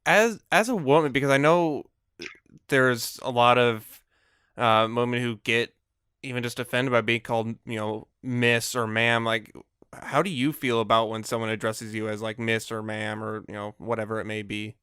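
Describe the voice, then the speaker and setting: Smooth-voiced man hosting a chat. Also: medium pitch, fairly even intonation, moderate pace, fairly bright tone, no echo, clean studio sound. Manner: asks questions, chatty and given to laughing. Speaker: podcast host. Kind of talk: casual chat.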